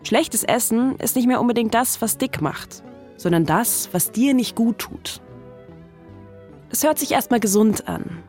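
A woman's voice: on the high side, expressive, measured pace, a little mellow. Woman narrating a documentary, music underneath. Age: 30s. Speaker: podcast narrator and host.

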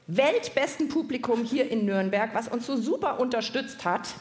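A woman hosting a live science podcast for children, speaking in an animated way and often putting questions to her audience. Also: on the high side, normal speed. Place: indoor live podcast festival stage. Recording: echoey live venue, faint noise.

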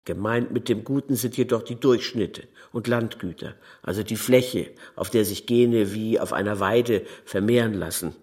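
A man's voice: fairly low pitch, moderate pace, hardly any pauses, darkish tone, slightly rough. A man reading literary prose aloud as a scripted narrator.

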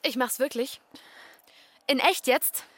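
A woman's voice: sits high, slow pace, heavy background noise. A woman playing a bold, teasing child explorer in a radio drama, with an or lilt. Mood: curious, teasing. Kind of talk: naturalistic radio drama dialogue.

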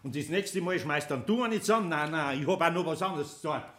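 An older man with a Bavarian accent playing a gruff but good-natured master carpenter in a radio play, grumbling and scolding. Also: fairly high pitch, animated, fast, sharp tone. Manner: grumbling, scolding, exasperated outbursts.

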